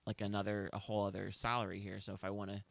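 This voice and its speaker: A man from Kansas City talks through his career as a podcast guest, chatty and wry. His voice sits low with a gravelly edge. The sound is dry and close. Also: monotone, racing, dry recording. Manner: chatty and wry, self-deprecating jokes. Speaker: guest, real estate agent. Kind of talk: casual podcast chat.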